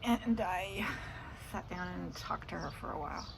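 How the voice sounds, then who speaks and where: Low, creaky-voiced woman chatting casually. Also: moderate pace, background noise. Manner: meandering, trailing off into asides. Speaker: vlogger. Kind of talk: casual rambling chat about the day.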